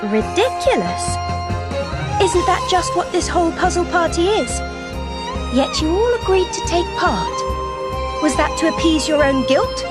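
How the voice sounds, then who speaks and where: A woman playing a poised, confident young British detective in a game, explaining in measured, formal sentences. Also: very high-pitched, big pitch swings, full character voice. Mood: confident, probing. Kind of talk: scripted game voice acting. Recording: loud music under the voice.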